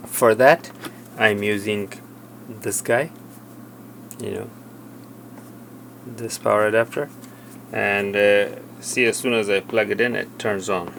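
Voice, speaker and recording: Male tutorial presenter, lower register, halting and plain-spoken hands-on walkthrough, faint background noise. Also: fairly even intonation, creaky, a bit bright. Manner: halting, plain step-by-step explaining. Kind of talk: hands-on product demonstration, unscripted.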